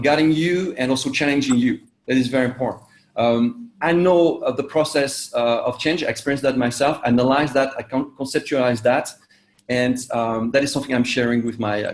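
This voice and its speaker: An English-speaking man, born in Vietnam and raised in France, works as a coach and answers interview questions. His delivery is halting and full of "uh" fillers and self-corrections, at a middle pitch. The sound is echoey, as if recorded in a reflective room. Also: a little mellow. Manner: halting, many "uh" fillers and restarts. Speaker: executive coach.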